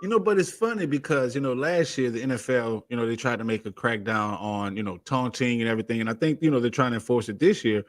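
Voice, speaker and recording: A man's voice: medium pitch, quick pace, hardly any pauses. A man co-hosting a casual sports chat, rambling with frequent filler words. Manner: rambling, lots of "you know" fillers. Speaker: co-host.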